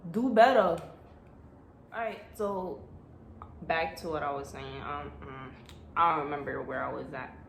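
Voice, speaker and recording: A woman's voice: sits low, unhurried, creaky, pauses often, slight background noise. A young woman talks straight into her phone camera, chatty and blunt. Her voice bounces off the walls of an echoey room. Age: young adult. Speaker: vlogger. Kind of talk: casual advice vlog.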